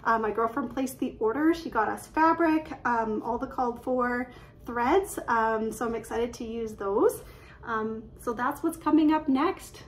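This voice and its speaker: A woman, chatting excitedly and quickly about her craft projects from her living room, filled with "um"s and little laughs. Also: on the high side, a little hiss or hum. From Canada. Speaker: cross-stitch vlogger. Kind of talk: casual show-and-tell chat. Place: living room at home, indoors. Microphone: webcam at desk distance.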